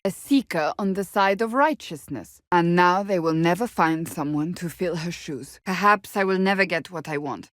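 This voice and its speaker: An actress voicing a stern, devout warrior woman in a video game, with formal, deliberate and blunt, commanding delivery. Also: lowish voice, no room sound, studio quality, lightly acted. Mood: dry, grave. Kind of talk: video game voice acting, dramatic dialogue.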